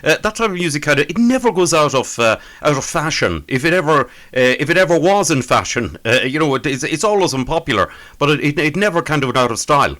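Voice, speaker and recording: Fast-talking radio host, bright voice. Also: male voice, fairly high pitch, sing-song. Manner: hesitant, many "uh"s, repeats "indeed so". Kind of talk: radio interview.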